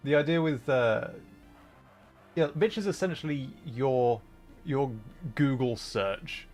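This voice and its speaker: A man hosting a gaming livestream, chatting casually and thinking out loud in a rambling way as he plays. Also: medium pitch, slow pace. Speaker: livestream host. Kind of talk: casual gaming livestream chat while playing. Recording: music playing behind the voice. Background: music.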